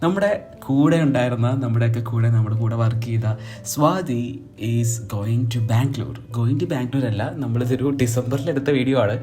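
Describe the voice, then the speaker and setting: A man's voice: mid-range voice, normal speed. A young man narrating a casual vlog in a chatty, teasing way, mixing in English phrases. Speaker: vlog host. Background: background music.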